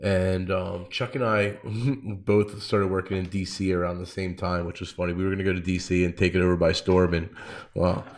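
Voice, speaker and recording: A man with a fairly low voice hosts a podcast. He talks informally, in loose slangy storytelling that leans often on "like". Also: little pitch movement, normal speed. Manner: informal, slangy, frequent 'like'. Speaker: podcast host. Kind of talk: casual chat, personal storytelling.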